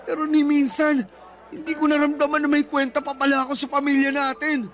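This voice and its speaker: A man's voice: very high voice, completely flat delivery, fairly quick, a bit bright, some chatter behind. A man playing a resentful breadwinner brother in his thirties in a Filipino radio drama, with a Filipino accent and an emotional, wounded delivery. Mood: hurt anger. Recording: music bed under the voice.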